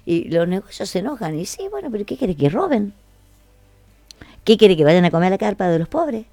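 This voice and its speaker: An Argentine woman hosts a casual radio show in a colloquial, chatty way. Her pitch moves in lively swings and she repeats phrases to drive points home. The sound is close and dry, with some music behind her. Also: fairly quick, no room sound. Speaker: radio host. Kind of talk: casual morning radio chat.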